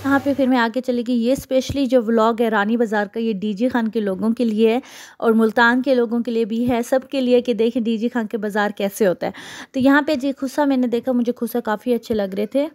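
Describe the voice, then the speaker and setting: A woman's voice: a little high, fairly even intonation, a little fast, darkish tone. A young adult woman, chatting casually as she narrates her own vlog. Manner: chatty, conversational, with frequent fillers. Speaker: vlogger.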